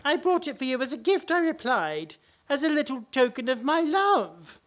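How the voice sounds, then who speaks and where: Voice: sits high, very animated, normal speed, fairly bright tone. An actor in a comic radio play playing a genial, timid, henpecked older father-in-law, hesitant and a little fussy, with old-fashioned politeness. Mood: rueful. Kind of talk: stage-comedy radio play acting.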